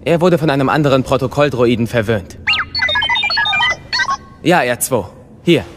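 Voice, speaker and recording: A man's voice: medium pitch, expressive, moderate pace, music in the background, natural voice. Man as a headstrong young apprentice, reverberant. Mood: impatient. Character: headstrong young male apprentice warrior, impatient and curious. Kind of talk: audio drama acting.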